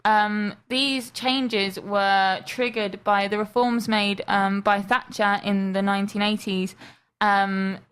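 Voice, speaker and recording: A woman's voice: medium pitch, level tone, takes it slowly, a bit bright, clean tone. A young woman, a student presenter, carefully explaining ideas from a script on a student radio show, with frequent ums. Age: young adult.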